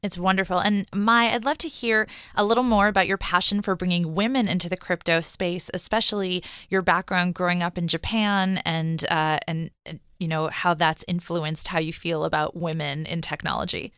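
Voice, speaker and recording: A woman's voice: lowish voice, fairly even intonation, moderate pace, fairly bright tone, clear, resonant tone, no echo, clean studio sound. A woman hosting an interview, putting questions to her guests in a conversational style. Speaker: interview host.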